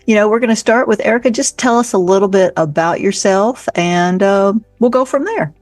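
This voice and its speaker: A woman's voice: mid-range voice, fairly quick, no echo, faint music behind. A woman hosting a podcast interview, upbeat and encouraging, chatting casually with plenty of fillers. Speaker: podcast host.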